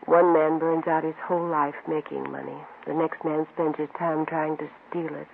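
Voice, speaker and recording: A well-to-do grieving widow in an old radio drama. Her voice is rather gravelly and sits none too deep, with polite, hesitant restraint. The sound is thin as a phone line. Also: little pitch movement, moderate pace. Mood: subdued, sorrowful. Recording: thin, noisy vintage radio recording.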